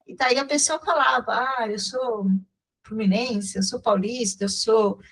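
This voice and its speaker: A woman's voice: fairly high pitch, unhurried, a bit bright, rough recording. A woman with a Brazilian accent hosting a radio talk show, chatting casually with frequent hesitations and fillers. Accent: Brazilian Portuguese. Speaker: radio host.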